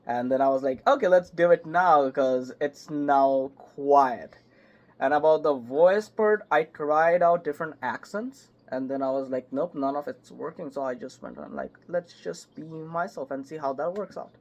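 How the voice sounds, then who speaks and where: A young man, a voice actor, chatting casually in an interview, halting and filling with "like" and "yep". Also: a little high. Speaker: voice actor guest. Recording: slightly muffled call audio.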